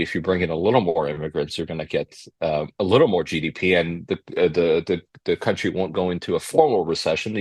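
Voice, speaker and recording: Low, husky young man. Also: dry recording. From Montreal, Canada. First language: French. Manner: animated, rapid, frequent fillers and restarts. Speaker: guest. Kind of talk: casual podcast conversation.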